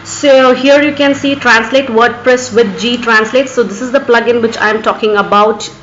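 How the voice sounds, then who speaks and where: A woman's voice: on the high side, steady tone, moderate pace, a bit bright. A woman with an Indian accent and Urdu as her first language narrates an unscripted screen tutorial as host, often saying "actually". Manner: repeatedly says "actually". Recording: echoey, muffled, background noise.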